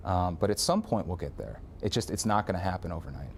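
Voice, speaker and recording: A man's voice: sits low, animated, fast, creaky, a little hiss or hum. A man giving interview answers as a medical researcher.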